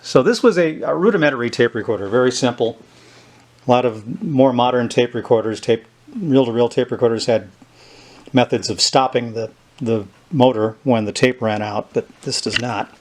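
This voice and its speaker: A man giving an unscripted, halting demonstration of equipment, thinking aloud as he handles it. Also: medium pitch. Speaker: host demonstrating a vintage tape recorder. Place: indoors at a tabletop.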